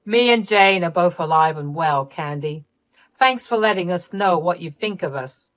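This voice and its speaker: A woman's voice: fairly low pitch, expressive, moderate pace, dry recording, lightly acted. A woman narrator puts on a strict father in a family drama story. He sounds betrayed, firm and cold, full of reproach for a grown child.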